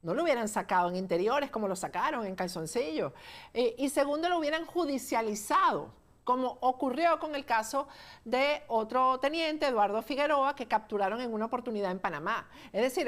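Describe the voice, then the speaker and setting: A woman hosting a political interview, direct and firm in stating her own opinion. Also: a little high. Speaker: host.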